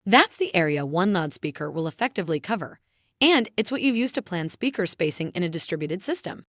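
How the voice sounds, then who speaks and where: A woman with a fairly low voice delivers scripted explainer narration. Her intonation moves up and down in a lively way while she stays measured and instructional. The sound is dry and close, with very little room in it. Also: dry recording. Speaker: narrator of a technical tutorial.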